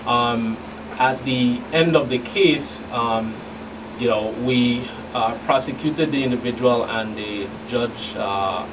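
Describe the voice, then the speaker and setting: A middle-aged man from the U.S. Virgin Islands speaking as a law enforcement guest in a halting, deliberate way. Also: mid-range voice. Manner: halting, deliberate, hesitant phrasing. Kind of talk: talk show interview. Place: small radio studio. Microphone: studio boom microphones in view. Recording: distant mic, clearly noisy room hum.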